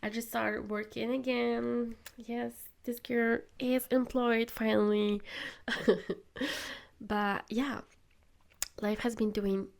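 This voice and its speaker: A woman's voice: a little high, level tone, takes it slowly, vocal fry. A young woman who is sick, hosting a solo podcast and giving her opinions in an earnest way. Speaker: podcast host.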